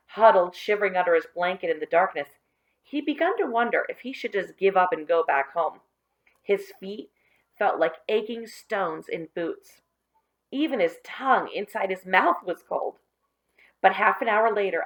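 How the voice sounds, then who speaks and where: A woman reads a children's story aloud in a mid-pitched voice. She is an expressive storyteller who phrases deliberately and leans on the key words. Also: rough recording. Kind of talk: expressive children's book reading.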